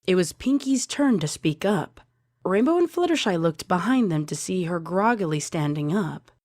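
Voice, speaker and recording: A woman's voice: on the low side, animated, normal speed, darkish tone, no room sound, clean studio sound. A woman narrating a scripted story as a fan fiction reader. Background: music.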